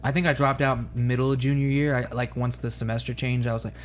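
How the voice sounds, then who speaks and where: A man's voice: medium pitch, level tone, fast, slight background noise. A man co-hosting a podcast, wry and self-mocking in casual chat. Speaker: podcast co-host.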